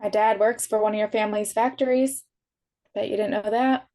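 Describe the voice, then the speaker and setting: A woman's voice: medium pitch, fairly even intonation, fairly quick, warm tone, natural voice. A woman reading the part of a guarded, principled sixteen-year-old emo shop worker, blunt and dry, in a drama table read. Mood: dry, challenging.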